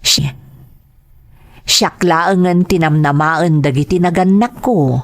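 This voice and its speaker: Slow-speaking, earnest young Filipino daughter. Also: female voice, fairly low pitch. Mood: sorrowful. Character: dutiful, grieving grown daughter telling her story. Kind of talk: radio drama acting, slow narration.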